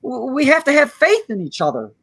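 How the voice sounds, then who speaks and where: A man playing an earnest, kind-hearted small-town loan manager in a live radio play, stammering and halting as he pleads. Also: extremely high pitch, huge pitch swings, fairly bright tone, heavily acted. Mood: desperate, pleading.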